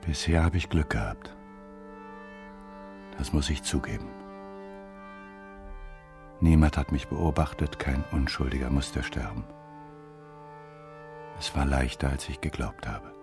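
An actor playing a weary, childlike murderer in an intimate, brooding radio drama monologue. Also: male voice, very deep voice, a little slow, gravelly, pauses often, over music, exaggerated voice.

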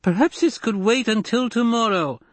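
A man as a mild, high-pitched, deferential doctor. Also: no room sound. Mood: deferential, hesitant. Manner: measured, coaxing. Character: mild, reasonable male household doctor, deferential. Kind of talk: audiobook character acting.

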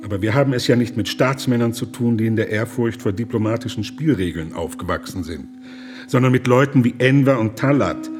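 A man in a radio drama playing an earnest German pastor who argues gravely, formally and with emphatic indignation. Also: medium pitch, close to an everyday voice.

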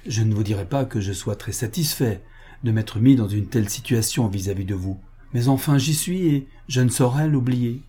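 A man voicing a reserved, courteous gentleman with formal, measured delivery, in a dramatic reading of a novel. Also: mid-range voice, slightly rough, natural voice. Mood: cool reserve. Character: reserved, courteous gentleman, coolly restrained yet anxious.

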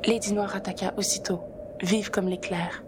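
A woman narrates a written story as its author, in a medium-pitched voice. The recording has clear noise behind her words. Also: level tone, normal speed.

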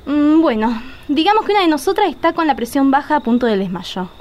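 A very high-pitched, urgent young woman with an Argentine accent , played by an actress. She is a bold schemer who bosses her friend around in a radio play. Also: animated, fairly quick, darkish tone, a little hiss or hum. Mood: scheming. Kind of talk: radio drama acting.

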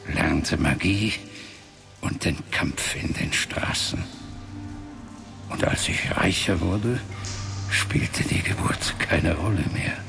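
An actor playing a grave, ancient warrior-mage father in a radio play, speaking solemnly and with measure in a breathy, gravelly voice. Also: low-pitched, exaggerated voice. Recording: music under the voice.

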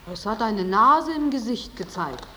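An actress playing a stubborn, curt young kitchen maid in a 1950s German radio drama, recorded with noticeable echo. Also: female voice, medium pitch, lively intonation, unhurried, bright, forceful tone. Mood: defiant. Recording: echoey old radio recording.

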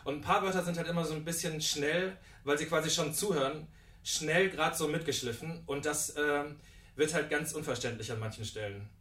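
A man's voice: a little high, normal speed, a bit bright. A man on a production team giving polite, tentative, informal feedback, heard from away from the microphone in a room. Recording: roomy, distant from the microphone.

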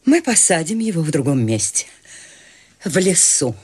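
An actress playing Maude, an eccentric, free-spirited older woman, lively and teasing, in a radio play. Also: sits low, normal speed, husky, full character voice.

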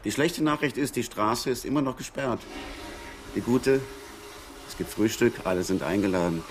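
An actor playing a folksy, genial older motel keeper making small talk in a radio crime drama. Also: male voice, mid-range voice, normal speed, slight background noise, lightly acted. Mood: chatty, genial. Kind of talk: naturalistic radio drama dialogue.

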